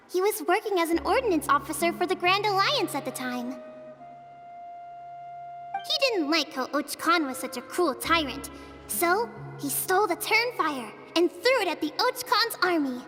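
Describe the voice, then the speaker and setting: An eager young village girl from a video game, very high and childlike. She tells her tales earnestly, with long reverb and music behind her. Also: bright, forceful tone, full character voice.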